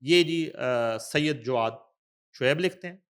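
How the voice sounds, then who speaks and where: A man with a Pakistani accent and a slightly high-pitched voice presents news commentary. He reads written text with deliberate emphasis, then drops into conversational asides as a show host would. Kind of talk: news commentary, reading an article aloud with asides.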